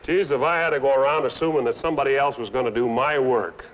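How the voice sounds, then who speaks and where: A man's voice: medium pitch, fast talker, lightly acted, rough recording. A man playing a fed-up, accusing car owner. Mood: grudging. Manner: blunt, accusing, sarcastic. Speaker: dissatisfied customer. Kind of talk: 1950s training film acting.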